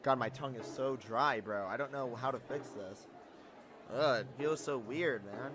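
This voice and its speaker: A man's voice: mid-range voice, moderate pace, noisy background. A young man giving casual, rambling, informal commentary as he streams a visual novel read-through. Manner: rambling, informal, bro slang.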